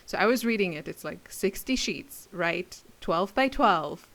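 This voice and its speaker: A woman's voice: lowish voice, expressive, measured pace, a bit bright. A woman crafter chatting casually to her viewers in a rambling, giggly way. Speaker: craft video creator and class teacher. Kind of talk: casual rambling chit-chat to camera.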